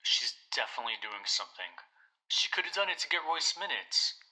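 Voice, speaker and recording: A man's voice: medium pitch, sing-song, brisk, bright, forceful tone, heavily acted, rough recording. A young man playing a suspicious, insistent teenage basketball player in a naturalistic audio drama, speaking casually and bluntly.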